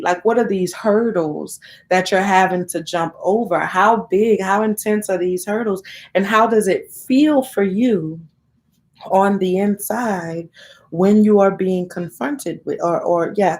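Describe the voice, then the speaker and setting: A woman's voice: fairly low pitch, darkish tone. Congested woman rambling on a live stream. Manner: rambling, candid, swears casually. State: congested from a cold. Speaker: vlogger hosting a live stream. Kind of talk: casual live-stream talk to viewers.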